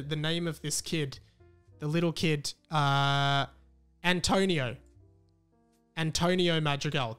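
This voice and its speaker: A man with a fairly high, smooth voice shares a review as a solo podcast host. He speaks casually and off the cuff, hesitating as he works out his thoughts. Music plays behind him. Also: a bit bright. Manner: conversational, hesitant, thinking aloud.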